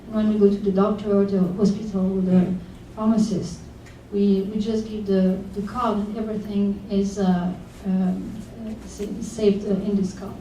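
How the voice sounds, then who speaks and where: A woman's voice: medium pitch, level tone, moderate pace, few pauses, mellow, slight background noise. Woman from France, hesitant, distant echo. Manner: hesitant, many fillers. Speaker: panelist. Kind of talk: panel discussion. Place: conference room. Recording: echoey room, voice from far off.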